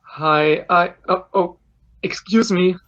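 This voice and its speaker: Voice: medium pitch, takes it slowly, fairly bright tone. Jittery male lift attendant, acted, hesitant, apologetic audio-play delivery, slightly dull sound. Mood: nervous, apologetic. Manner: hesitant, stammering. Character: Johnny, nervous, apologetic male elevator attendant. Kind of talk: amateur audio play acting.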